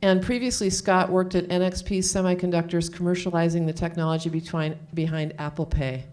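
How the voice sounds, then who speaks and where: Woman, slightly low pitch, event host, handheld microphone, informal introductions, chatty, halting when reading. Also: fairly even intonation, a little mellow. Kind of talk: informal live introductions, partly read out. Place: live event room, on stage.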